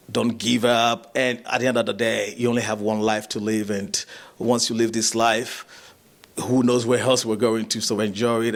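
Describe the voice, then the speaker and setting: A man from Nigeria, a musician and actor, chatting in an interview, relaxed and chuckling, with many fillers and restarts. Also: lowish voice. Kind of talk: casual interview chat.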